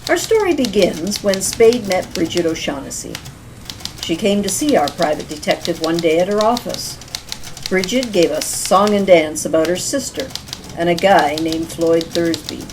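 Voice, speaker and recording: A woman announcing and narrating a radio-style mystery drama in a measured, dramatic storytelling manner. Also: on the low side, lively intonation, background noise. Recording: music clearly behind the voice.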